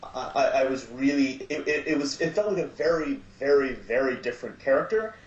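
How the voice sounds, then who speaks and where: A man's voice: mid-range voice, expressive, brisk. A man chatting as a podcast guest, weighing his words thoughtfully as he talks. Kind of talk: casual chat. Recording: roomy, dull sound with faint hiss.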